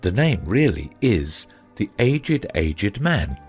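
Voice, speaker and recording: A gentle, foolish knight acted by a man explains himself with fussy earnestness. His pitch moves up and down in a lively way, in a storybook drama with soft music underneath. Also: slow pace. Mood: fussy, vexed. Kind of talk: dramatized audiobook acting.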